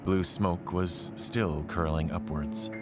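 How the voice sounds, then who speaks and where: A man gives a measured, solemn, literary narration of a scripted horror tale. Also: low voice, steady tone. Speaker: storyteller narrating an audio play. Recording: music behind the voice. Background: background music.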